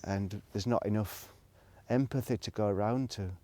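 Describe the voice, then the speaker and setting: A man's voice: lowish voice, moderate pace, mellow, no echo. A middle-aged man, rambling reflectively in a casual solo monologue, hesitant and thinking aloud as he goes. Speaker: solo video blogger.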